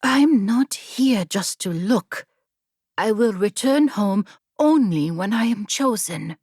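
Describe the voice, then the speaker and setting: A woman's voice: mid-range voice, expressive, slow pace, darkish tone, slightly rough, no room sound, clean studio sound, exaggerated voice. A woman narrator voicing a determined young female aspiring warrior in an audiobook, resolute and earnest. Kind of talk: audiobook character dialogue.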